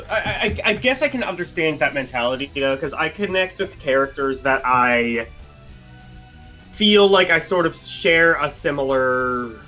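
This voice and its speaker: Bright-voiced man, swearing and halting. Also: on the high side. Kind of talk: casual chat.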